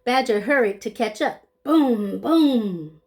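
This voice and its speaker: Lady doing a kids book read aloud, super expressive up and down voice, really slow, plays up the sound words. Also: fairly high pitch. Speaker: storybook reader. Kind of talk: animated children's read-aloud with short asides to listeners.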